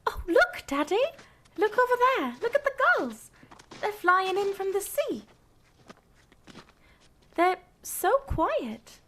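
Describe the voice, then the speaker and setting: A woman's voice: very high-pitched, very animated, takes it slowly, long pauses, fairly bright tone, a little hiss or hum, exaggerated voice. A female performer playing a curious, innocent little girl in a vintage-style radio drama. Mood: curious, wondering.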